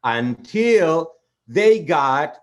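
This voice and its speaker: A man telling stories to children as a puppet show host, expressive, with simple repeated phrasing. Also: fairly high pitch, extremely slow. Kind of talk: children's storytelling.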